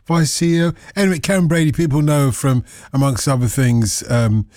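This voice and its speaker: A man's voice: medium pitch, fairly even intonation, a little fast, a little mellow, no room sound, clean studio sound. A man hosting a radio phone-in, chatting casually, teasing his callers and cutting in over them.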